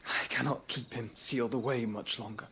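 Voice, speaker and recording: An actor playing a gentle, solemn young nobleman, weary and wounded, speaking formally in a soft, breathy voice near a whisper. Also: medium pitch, fairly even intonation, a little hiss or hum. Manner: measured, formal, reflective speech. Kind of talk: game cutscene acting.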